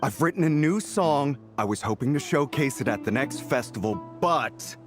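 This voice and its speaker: An actor voicing an eager, wheedling songwriter uncle in a video game. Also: male voice, mid-range voice, lively intonation, moderate pace, close to an everyday voice. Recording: music behind the voice.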